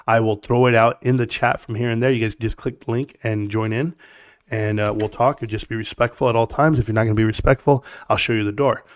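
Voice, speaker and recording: A man's voice: mid-range voice, fairly even intonation, a little fast, no room sound, clean studio sound. Blunt man with a scratchy voice. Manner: blunt, emphatic, talks straight to the chat. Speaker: stock market live streamer. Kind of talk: opinionated casual livestream rant.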